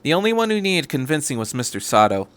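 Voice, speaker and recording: A man's voice: fairly high pitch, big pitch swings, fast, fairly bright tone. A man reading fan fiction aloud as a podcast host, in scripted narration. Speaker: podcast host and story reader.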